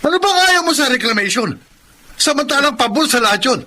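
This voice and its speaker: Urgent, bright-voiced Filipino villager. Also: male voice, extremely high pitch, lively intonation, fairly quick, slight background noise, heavily acted. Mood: defensive. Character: Filipino fishing villagers, pleading and argumentative men. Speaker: radio drama actor. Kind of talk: Filipino radio drama acting.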